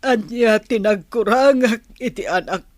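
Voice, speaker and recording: A woman's voice: on the high side, measured pace, darkish tone, close to an everyday voice. An actress with an Ilocano accent playing a sorrowful mother in a radio drama. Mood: grieving. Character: sorrowful mother pining for her absent child.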